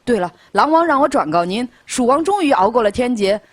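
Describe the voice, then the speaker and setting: A woman's voice: a little high, very animated, brisk, no echo. A woman playing a chatty, eager young servant boy in a Chinese audio drama, gossipy and deferential to the master. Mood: gleeful gossip.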